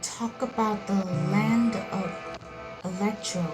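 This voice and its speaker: A woman's voice: mid-range voice, steady tone, slow, music in the background. A woman gamer reading dialogue choices aloud during a playthrough. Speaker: gameplay streamer.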